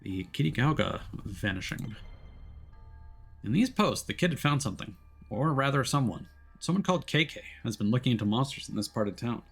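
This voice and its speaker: A man's voice: mid-range voice, lively intonation, brisk, gravelly, husky, soft background music. A man live-streaming a game, reading its story aloud expressively and dropping in casual asides. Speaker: streamer playing a visual novel.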